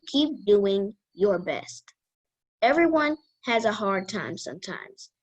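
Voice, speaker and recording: Slow, careful female reader, higher voice. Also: poor audio. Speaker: guest reader. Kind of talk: reading a children's self-help book aloud.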